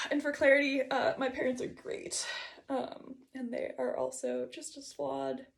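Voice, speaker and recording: A woman's voice: sits high, flat delivery, unhurried, vocal fry. A woman giving a reflective solo monologue, hesitant and digressive as she thinks aloud about her writing. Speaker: host reading and discussing own writing.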